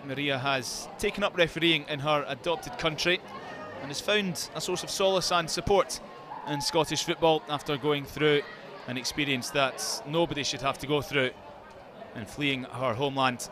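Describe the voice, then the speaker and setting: Guy calling a football match, voice a bit high, crowd noise all around. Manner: measured, scripted link to a feature. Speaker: match commentator. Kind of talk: live football commentary. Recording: crowd and stadium noise behind.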